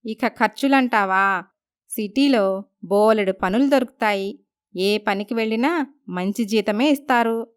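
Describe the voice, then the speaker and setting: A woman's voice: medium pitch, a little slow, long pauses, smooth, close to an everyday voice. A woman voicing a dutiful, polite young daughter, speaking earnestly in a moral story. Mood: reassuring. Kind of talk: dramatised moral story voice acting.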